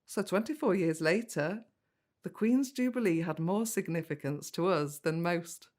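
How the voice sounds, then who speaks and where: A woman's voice: on the low side, measured pace, darkish tone, lightly acted. An actress playing a young woman in an audio drama, a granddaughter who narrates and talks with her family. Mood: reflective. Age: young adult. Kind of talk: first-person narration and dialogue in audio drama.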